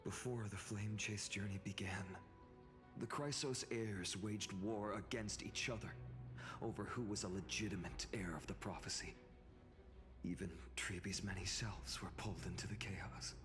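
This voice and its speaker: Guy voicing calm thoughtful game hero, lowish voice, music behind. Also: moderate pace, close to an everyday voice. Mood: calm, reflective. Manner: calm, matter-of-fact explaining.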